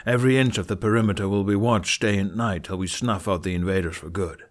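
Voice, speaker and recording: A stubborn warrior king and father , performed by a male reader. He speaks low, with curt, commanding authority and a flat, stern tone, as in a dramatized storybook. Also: fast talker, close to an everyday voice. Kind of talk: dramatized fan fiction reading.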